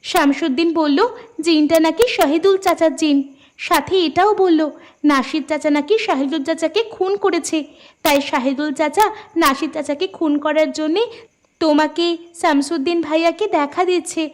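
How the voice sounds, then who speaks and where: A woman's voice: high voice, fairly even intonation, normal speed, close to an everyday voice. A woman voicing an earnest young village daughter in a cartoon. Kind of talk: cartoon dubbing.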